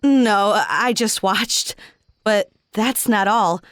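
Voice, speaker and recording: A woman's voice: mid-range voice, extremely slow, a bit bright, smooth, dry recording, clean studio sound. A woman playing a laid-back traveler confiding in a friend, in a casual, conversational audio drama style. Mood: quietly concerned.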